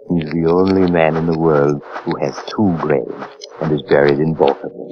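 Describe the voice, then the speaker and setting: A macabre doctor hosting old radio horror tales with sly amusement. The voice is exceptionally deep, heard through a dull recording with heavy noise. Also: male voice. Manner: sly, macabre, mock-sympathetic. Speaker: narrator and host.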